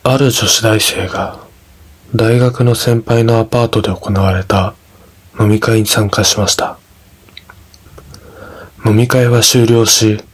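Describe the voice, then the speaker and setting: A man narrating a scripted ghost story in a measured, dramatic storytelling manner. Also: fairly low pitch, husky.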